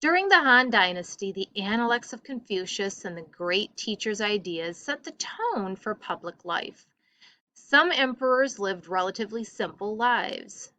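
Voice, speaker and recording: Female teacher for classroom read-aloud: bright, forward tone, dry close sound, deliberate emphasis. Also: medium pitch, animated, no echo.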